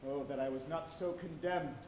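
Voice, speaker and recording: A man's voice: on the high side, steady tone, normal speed, darkish tone, natural voice. A male stage actor playing an obsessed, vengeful old whaling captain, declaiming solemnly in archaic language in a theatre tragedy. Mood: moved, bitter. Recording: noisy, echoey live auditorium recording.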